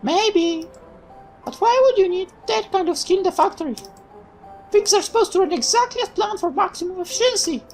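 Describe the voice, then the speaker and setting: Brash young woman mechanic, high, exclaiming delivery, voiced by a female reader. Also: expressive, fairly quick, darkish tone, soft background music. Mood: curious. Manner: exclaiming, indignant, high-pitched. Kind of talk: reading visual novel dialogue aloud.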